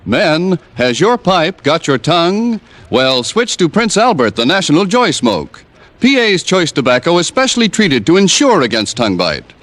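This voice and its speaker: A man announcing and reading ads in a brisk, salesy way, in a 1950s radio broadcast. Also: a little high, big pitch swings, a little hiss or hum. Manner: bright, salesy.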